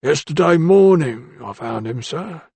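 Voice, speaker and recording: A man's voice: a little high, animated, measured pace, vocal fry, dark tone, slightly rough, no echo, pitched above the performer's own voice, heavily acted. A man voicing a timid, grasping ironmonger with a thin, bleating voice and a wheedling, deferential manner. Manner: wheedling and deferential, keeps saying sir. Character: timid, grasping male shopkeeper, working class.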